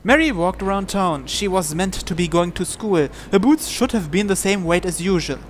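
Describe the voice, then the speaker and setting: やや高めの声で朗読する男性. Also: male voice, high voice, expressive, moderate pace. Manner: stumbles and repeats words while reading. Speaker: gamer translating a visual novel aloud. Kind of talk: live read-aloud narration in English. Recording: faint music behind the voice.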